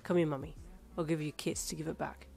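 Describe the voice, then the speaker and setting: A woman plays a gruff young dad in a story, pitched low and a little raspy. He is possessive and stern, and gets defensive in a playful way. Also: steady tone, fast, warm tone. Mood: mock defensive.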